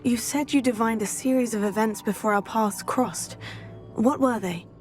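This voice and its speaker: An earnest young student witch speaks calmly and reassuringly, as a woman voices her in a video game. Her voice sits fairly high, with soft music beneath. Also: moderate pace, lightly acted.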